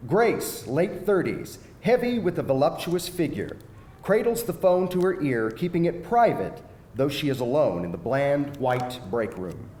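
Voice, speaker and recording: A man's voice: on the high side, big pitch swings, measured pace, a little mellow. A man narrating stage directions in a live screenplay reading. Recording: echoey hall, faint background noise.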